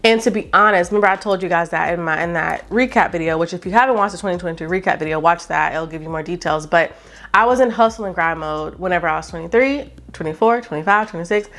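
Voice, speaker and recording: A woman in her late twenties chatting casually to camera as a YouTuber, animated and talkative, often stopping to ask "okay?". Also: on the low side, quick pace. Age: 20s.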